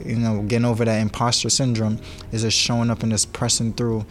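New student, flat-toned young man. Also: lowish voice, brisk. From New York. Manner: enthusiastic, casual fillers. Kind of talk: interview answer.

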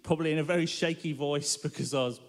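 A man giving his testimony at a live worship event, animated and self-mocking as he tells his story. His voice sits a touch high for a man, and it carries through a big hall with a long reverb. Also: fast talker. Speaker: guest speaker. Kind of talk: personal testimony sermon.

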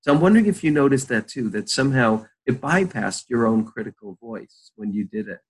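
An older man, a therapist, leading a slow guided visualization and then chatting about his practice. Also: medium pitch, lively intonation, husky, low-quality recording. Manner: slow soothing guided-meditation delivery.

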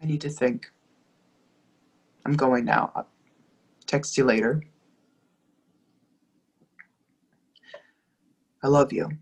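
A woman's voice: a bass voice, unhurried, vocal fry, darkish tone, husky, exaggerated voice. A woman in a play reading, playing a young lover who is heartbroken and pleading, hurt and sarcastic by turns. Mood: hurt, subdued. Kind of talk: readers theatre play reading.

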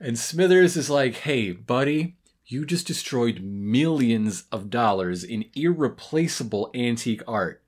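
A co-host with a mid-range voice who talks at an unhurried pace with lively swings in pitch. The delivery is a casual, wry recap, dropping dry asides and acting out quoted lines. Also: slightly rough. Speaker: podcast co-host.

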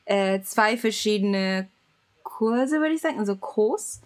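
A young woman with a Japanese accent and Japanese as her first language talks slowly in a relaxed chat. She hesitates, fills pauses with "ähm" and gropes for the right words. She laughs easily as she speaks. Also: medium pitch. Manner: hesitant, searching for words, many fillers; laughs often. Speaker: guest. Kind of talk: casual chat.

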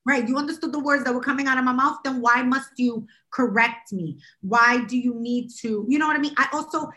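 A woman's voice: high-pitched, a little fast, pushed and bright. A woman co-hosting a casual podcast chat, talking in an emphatic, opinionated way. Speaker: podcast co-host.

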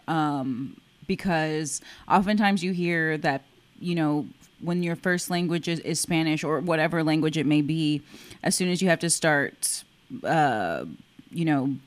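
A woman interviewing a guest in a relaxed conversation, encouraging and supportive as she asks questions. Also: low-pitched.

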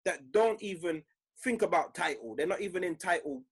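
A man's voice: sits high, expressive, moderate pace, husky. A man, co-hosting a podcast, joking and arguing his point in casual banter. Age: 30s. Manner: jokey, argues his point patiently. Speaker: podcast co-host.

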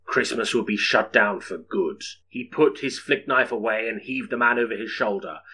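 A man's voice: medium pitch, sharp tone, breathy edge, low-quality recording. A man narrating an audiobook story in a dramatic, scripted storytelling style.

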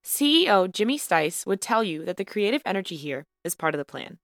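A woman narrating a student podcast episode in a measured, lightly wry scripted style. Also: mid-range voice, big pitch swings, no echo, clean studio sound. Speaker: podcast host and student reporter.

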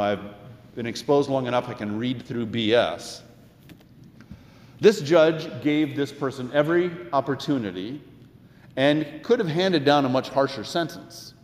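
Older American male pastor, conversational and reflective preaching, mid-range voice, reverberant church. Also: mid-range voice. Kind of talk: sermon with personal anecdotes. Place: large indoor church. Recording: echoey hall with long reverb.

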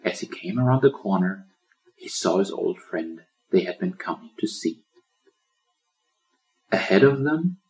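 A man's voice: low-pitched, darkish tone, rough recording. A man reading a children's story as scripted audiobook narration. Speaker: author reading his audiobook.

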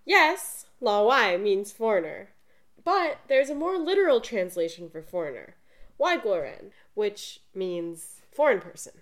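A woman co-hosting a podcast, reading scripted explanations in a lively, explanatory way. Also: medium pitch, measured pace. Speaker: podcast co-host.

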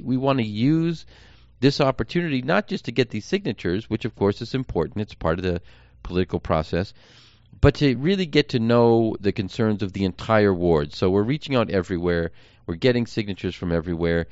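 An American man with a fairly low, smooth voice hosts an informal campaign podcast. He explains things earnestly in short, measured phrases, like a candidate briefing voters. The sound is very dry, with no room around the voice. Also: darkish tone, dry recording, highs cut off. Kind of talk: informal campaign update monologue.

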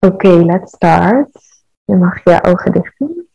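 A woman leading a guided meditation in a calm, measured, soothing manner. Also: on the low side, vocal fry, breathy edge, no echo, highs cut off.

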